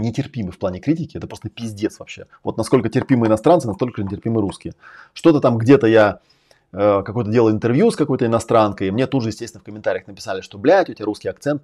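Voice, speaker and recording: A man's voice: lowish voice, darkish tone. A man hosting a live stream, lecturing in a casual, emphatic way, categorical and often swearing. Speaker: host and language-learning coach.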